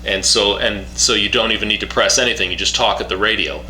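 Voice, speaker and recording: A man giving a casual, unscripted product demonstration in a halting way, repeating and restarting his phrases. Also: lowish voice, fairly even intonation, pushed and bright. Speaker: product reviewer demonstrating a two-way radio. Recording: roomy echo, faint background hum.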